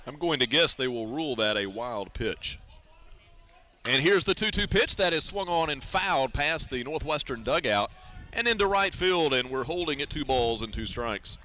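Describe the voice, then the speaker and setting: An American man calling a baseball game as a radio play-by-play announcer, in a measured, descriptive way. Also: mid-range voice, expressive, sharp tone. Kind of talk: live sports radio commentary.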